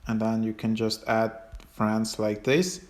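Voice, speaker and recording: Flat, hesitant man giving an app walkthrough. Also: on the low side. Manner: hesitant, halting delivery with trailing phrases. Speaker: host of an app tutorial. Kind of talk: casual unscripted app walkthrough.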